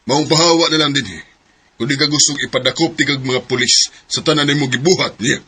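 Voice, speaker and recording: Bright-voiced earnest Cebuano boss in love. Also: male voice, on the high side, a little fast, slightly rough. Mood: firm, defiant. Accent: Cebuano Filipino. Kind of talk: Cebuano radio-style romantic drama acting.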